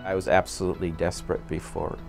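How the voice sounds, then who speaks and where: A man's voice: deep voice, little pitch movement, quick pace, creaky. A man giving a personal testimonial as a client, in an interview style. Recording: music playing under the voice.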